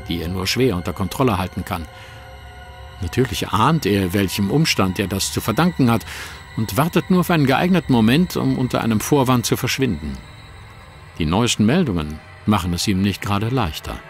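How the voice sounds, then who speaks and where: Male narrator, scripted audio drama, low voice, faint music underneath. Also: expressive, brisk.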